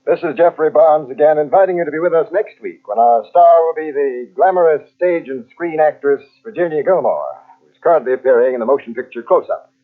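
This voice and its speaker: Old time radio host guy, slightly high voice, scripted, tinny narrow sound. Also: animated, a little fast. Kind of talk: 1940s radio hosting, scripted announcement. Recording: tinny vintage radio recording on cassette.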